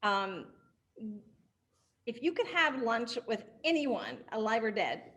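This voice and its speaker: A fairly high-pitched woman hosts an interview. She is chatty and friendly, and she laughs easily. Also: animated, slow pace, a bit bright. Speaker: interviewer host. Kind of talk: casual interview.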